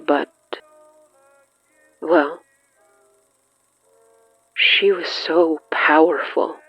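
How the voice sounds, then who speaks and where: A slow narrator with a mid-pitched voice reads dramatic horror fiction in deliberate, suspenseful fragments. The sound is muffled and lo-fi, like a radio, with music underneath. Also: no echo. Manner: measured, deliberate, broken into short suspenseful phrases.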